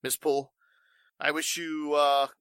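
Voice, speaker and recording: A man's voice: on the high side, little pitch movement, measured pace, fairly bright tone, clean tone, no room sound. A man playing a flustered, evasive warehouse owner in 1940s radio drama style, stammering and speaking with stuffy formality.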